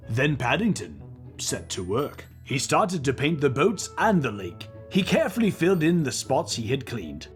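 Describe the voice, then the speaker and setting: A man reading a children's story aloud in an animated, expressive way, with playful banter as a co-host. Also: medium pitch. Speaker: children's book reader and comedy co-host.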